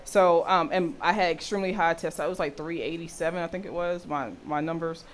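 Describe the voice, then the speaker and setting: A woman with a deep voice for a woman, teaching a health session and often checking in with her listeners. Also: fairly even intonation, brisk, a little hiss or hum. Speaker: health and intimacy educator.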